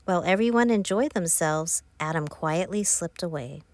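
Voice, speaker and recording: A woman narrating a children's picture book, reading aloud in simple, clear phrases for young listeners. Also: on the low side, moderate pace, noisy background. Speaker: author narrating her own children's book. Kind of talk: scripted children's storybook narration.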